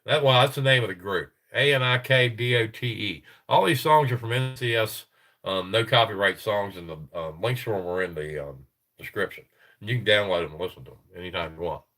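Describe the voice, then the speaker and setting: A middle-aged man hosting a casual livestream, reading sources aloud and chatting loosely with his viewers. His voice is getting tired and hoarse. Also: lowish voice, brisk, pushed and bright. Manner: rambling, reads sources aloud, chats with viewers. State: voice tiring, gets hoarse. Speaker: livestream host.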